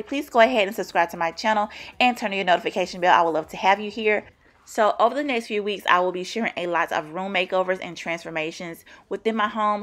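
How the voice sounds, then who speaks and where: A woman's voice: mid-range voice, fairly bright tone. A young adult woman narrating her home cleaning vlog in an upbeat, chatty voice-over. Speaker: cleaning vlogger.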